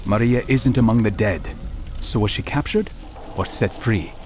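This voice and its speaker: An actor playing a calm, determined assassin in a video game, with a measured, dry, terse manner. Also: male voice, fairly low pitch, noisy background, natural voice. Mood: pondering. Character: calm, cool, determined young assassin hero. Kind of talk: video game cutscene acting.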